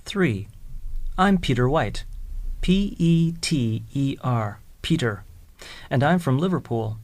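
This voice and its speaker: A speaker reading scripted English listening exercises in a slow, deliberate way, spelling out words letter by letter. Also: mid-range voice, lively intonation, darkish tone. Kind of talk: scripted slow textbook dialogue.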